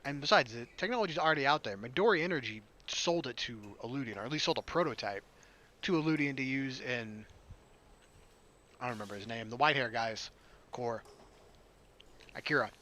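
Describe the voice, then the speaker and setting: A man's voice: medium pitch, animated, brisk, pauses often, pushed and bright. A man hosting a gaming video, reading a visual novel aloud expressively and dropping in casual asides.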